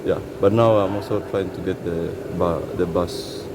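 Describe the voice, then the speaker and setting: A man from Congo with a very low voice talks about his mechanic work in a hesitant, stop-start way. The sound of a busy workshop hums clearly behind him. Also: steady tone, very noisy. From Congo, grew up in Uganda. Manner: halting, many restarts and "you know". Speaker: bus mechanic. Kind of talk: casual interview answers. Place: large vehicle workshop.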